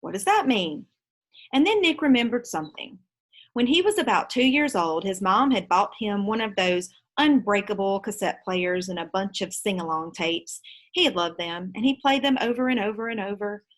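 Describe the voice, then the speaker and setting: A woman's voice: mid-range voice, animated, normal speed. A woman teacher, reading a children's book aloud and explaining it to her pupils with reminders.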